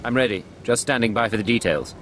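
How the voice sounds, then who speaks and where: An actor playing a cool, confident imperial secret agent in a video game, terse and controlled. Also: male voice, medium pitch, quick pace, fairly bright tone, slight background noise, natural voice. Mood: confident, ready. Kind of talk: video game character acting.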